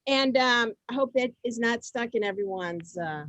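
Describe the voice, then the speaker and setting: A woman's voice: a little high, normal speed, fairly bright tone, dry recording, dull audio. A woman hosting an amateur comic readers' theater, moving between scripted lines and ad-libs. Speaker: host of a comic contest.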